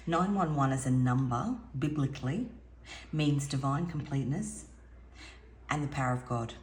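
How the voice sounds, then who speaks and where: A woman with a raspy voice giving an earnest, meandering spiritual card reading at home as an intuitive tarot reader. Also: extremely low pitch, normal speed. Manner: meandering, earnest, with frequent restarts. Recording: echoey room with faint background noise.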